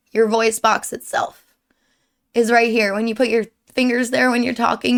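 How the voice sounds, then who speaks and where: A woman's voice: on the high side, fairly bright tone. A young woman with a sore, strained throat giving an earnest, rambling update to her viewers from her desk at home. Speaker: streamer and YouTuber. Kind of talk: candid personal update to viewers.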